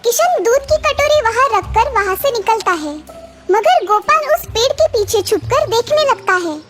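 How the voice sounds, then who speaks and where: Very high, animated female storyteller. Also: moderate pace. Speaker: storyteller, narrator. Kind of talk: scripted children's story narration. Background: loud children's music.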